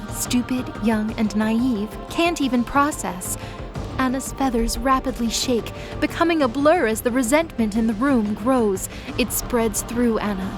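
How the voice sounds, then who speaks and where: A woman with a fairly high voice narrates a scripted audio drama. Her storytelling is dramatic, and music plays clearly behind her.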